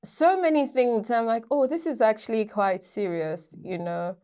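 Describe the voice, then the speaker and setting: A woman's voice: on the high side, normal speed. A young woman from Nigeria, a guest on a podcast, chatting casually and laughing as she tells stories. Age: young adult. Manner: lively, laughs while telling stories. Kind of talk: casual interview chat.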